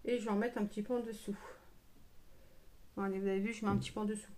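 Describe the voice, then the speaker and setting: A woman's voice: mid-range voice, level tone, racing, mellow. A woman giving a casual live make-up tutorial, chatting familiarly with her viewers and throwing in playful asides. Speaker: live make-up streamer and beauty seller.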